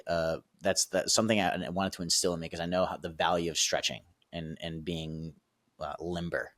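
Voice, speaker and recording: Male performance coach, deep voice, dry close recording, lively teaching tone. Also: dry recording. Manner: energetic, repeats "right?" and "okay?" often. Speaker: sales and performance coach, guest. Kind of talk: casual teaching explanation.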